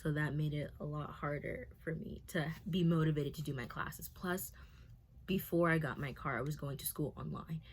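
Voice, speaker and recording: Nervous young woman with a low voice. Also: steady tone, moderate pace, a little hiss or hum. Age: 20s. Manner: nervous, rambling and confessional, many fillers. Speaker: vlogger. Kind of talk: candid talking-to-camera update.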